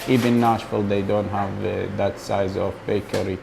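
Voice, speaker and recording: A man from Iraq, a grocery store owner speaking haltingly in a documentary interview, often pausing to find his words. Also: lowish voice, little pitch movement, vocal fry, noisy background.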